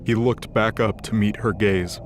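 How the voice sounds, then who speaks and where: A man's voice: on the low side, fairly even intonation, normal speed, a little hiss or hum. A man reading scripted, dramatic story narration as the narrator of an audio drama. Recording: music under the voice. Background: music.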